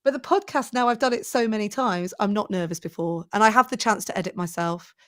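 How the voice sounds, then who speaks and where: A woman's voice: medium pitch, fairly quick, a bit bright. A woman in her forties, a presenting coach, talking enthusiastically as a podcast guest and thinking aloud as she goes. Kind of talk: conversational podcast interview.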